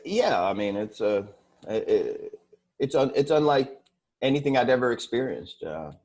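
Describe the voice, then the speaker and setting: A man in his forties, born in Sweden, speaking as an interview guest in a casual, halting way with many fillers and restarts. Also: medium pitch, very animated, darkish tone, lo-fi sound. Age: 40s.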